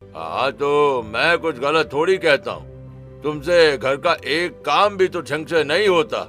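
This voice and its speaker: A man puts on a stern older father-in-law for a cartoon drama. He is reproachful and scolding, with a slightly high voice and soft music behind. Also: expressive, takes it slowly. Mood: scornful. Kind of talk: cartoon family melodrama acting.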